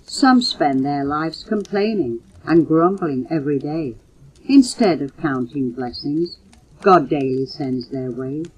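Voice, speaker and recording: Slow, low-voiced older woman reciting poetry. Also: animated, darkish tone, slightly rough. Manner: measured, earnest recitation, announcing titles and authors. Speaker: mother reading poems for her children. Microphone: home cassette recorder. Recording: muffled old home audio cassette.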